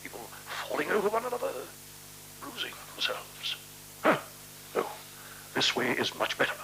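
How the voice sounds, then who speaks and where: A man's voice: high-pitched, wildly swooping pitch, normal speed, vocal fry, pushed and bright, full character voice, rough recording. An actor playing a chatty, order-obsessed British man in a 1970s radio play, fussy and colloquial. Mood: fussy, emphatic. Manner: fussy, colloquial, hesitant asides. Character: chatty, order-obsessed, matey man organizing shared lodgings. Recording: hissy, humming 1970s radio recording.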